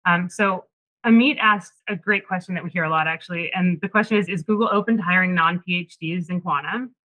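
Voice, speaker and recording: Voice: medium pitch, a little fast, highs cut off. A panel moderator who works in product, chatting in a friendly, conversational way and putting questions to the guests. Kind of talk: hosting a careers panel.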